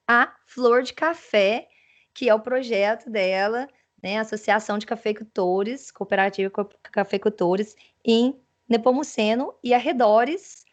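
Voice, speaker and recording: A woman with a Mineiro accent hosting an interview over a video call, chatting casually with frequent tag questions and laughs. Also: a little high. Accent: Mineiro Brazilian Portuguese. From Minas Gerais, Brazil. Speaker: interview host.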